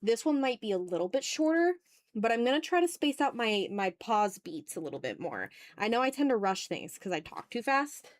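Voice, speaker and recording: A woman's voice: on the high side, sing-song, normal speed. A woman talking informally to viewers as she draws on a livestream, often breaking off to greet people in the chat. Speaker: host of an art livestream, video creator. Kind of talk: casual livestream chat with viewers while drawing. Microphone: streaming mic, newly placed a little far away.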